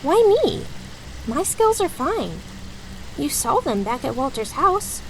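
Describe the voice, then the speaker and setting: A woman's voice: high-pitched, very animated, slow pace, slight background noise, exaggerated voice, low-quality recording. An actress playing a worried young woman apprentice who protests with anxious, pleading questions. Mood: protesting. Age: young adult.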